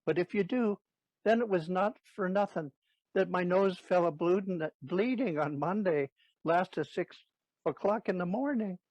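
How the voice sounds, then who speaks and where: A man's voice: high-pitched, moderate pace, a little mellow, no room sound. A man playing a sentimental, cheeky servant clown in a Shakespearean audio play. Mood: coaxing, babbling. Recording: dull, dry lo-fi recording.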